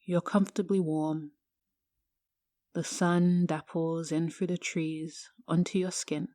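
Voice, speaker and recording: Slow, low-pitched woman guiding meditation. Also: little pitch movement, dry recording, studio quality. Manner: hushed, soothing, measured with long pauses. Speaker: yoga teacher guiding a meditation. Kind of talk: guided meditation narration.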